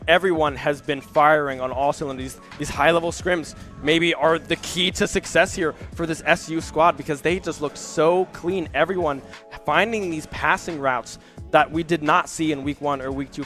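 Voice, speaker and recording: A young man casting an esports match, hoarse from losing his voice, talking quickly and leaning on "I mean" and "right?". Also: fairly high pitch, a bit bright. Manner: fast, hedging with "I mean" and "right?". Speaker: esports caster. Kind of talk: play-by-play commentary. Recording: faint game music behind.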